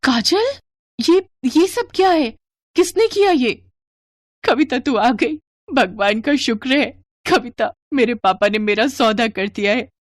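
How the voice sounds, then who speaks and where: A woman playing an expressive young college girl in dramatic story dialogue. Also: on the high side, unhurried, dry recording. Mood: distressed. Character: young college girl, lovestruck, teasing, later frightened and pleading. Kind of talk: animated Hindi story dialogue.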